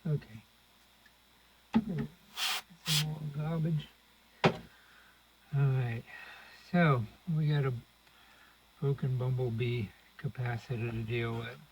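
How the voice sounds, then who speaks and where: Gravelly man in dull, noisy recording. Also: medium pitch, fairly even intonation, takes it slowly, pauses often. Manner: unscripted, murmured step-by-step commentary while working. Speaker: host of a radio restoration channel. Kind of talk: casual hands-on repair demonstration. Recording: dull lo-fi audio with loud noise, clicks.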